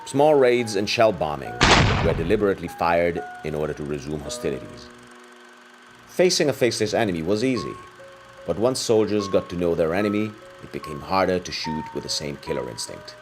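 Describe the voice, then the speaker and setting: Male narrator, low voice, lively intonation, dramatic scripted documentary, music behind. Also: fairly quick.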